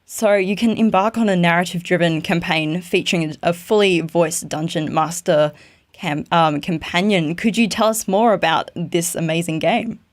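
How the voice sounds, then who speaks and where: A woman hosts an interview show in a conversational style. Her voice sits slightly low for a woman as she sets up topics and puts questions to her guest. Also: normal speed.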